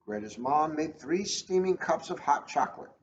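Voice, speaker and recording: Higher-pitched man reading aloud, muffled recording. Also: expressive, moderate pace, roomy sound. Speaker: police officer reading to children. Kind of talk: storybook read-aloud with casual asides. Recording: dull lo-fi audio, faint noise.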